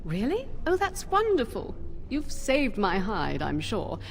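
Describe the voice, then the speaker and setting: An actress playing a grateful, friendly senior mage in a fantasy video game. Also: female voice, a little high, huge pitch swings, unhurried, slight background noise, heavily acted. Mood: relieved, grateful.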